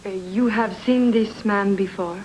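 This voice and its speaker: An actress playing a composed, firm, well-to-do married woman in a noir radio drama, speaking in a measured, formal way. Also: mid-range voice, darkish tone, a little hiss or hum, natural voice, poor audio. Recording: old-time radio drama, music underneath.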